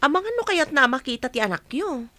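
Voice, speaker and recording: A woman's voice: high voice, very animated, fast, pushed and bright, no echo, heavily acted. A woman with an Ilocano Filipino accent playing an inquisitive, frank friend in a radio drama. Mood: concerned.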